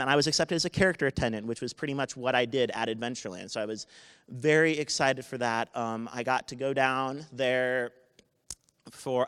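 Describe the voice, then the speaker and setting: A man in his twenties tells a true story from his own life to a live audience. His voice is loud, smooth and resonant, and his sentences often stop and start again. A long reverb from the hall trails behind each phrase. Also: mid-range voice. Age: 20s. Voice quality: naturally loud voice. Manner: halting, with many restarts and self-corrections. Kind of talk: unscripted personal story told live to an audience. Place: university event space.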